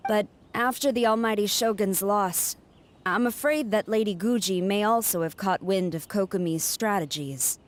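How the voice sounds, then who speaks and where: A woman playing a stern, proud young samurai general in a video game, formal, measured and serious. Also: mid-range voice, lightly acted. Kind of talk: video game character acting.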